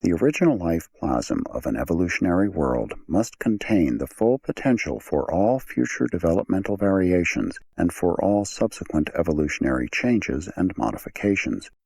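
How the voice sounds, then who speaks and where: A man reading an audiobook as a scripted narration, in a measured, formal manner. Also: sits low, big pitch swings, creaky, darkish tone, slightly rough.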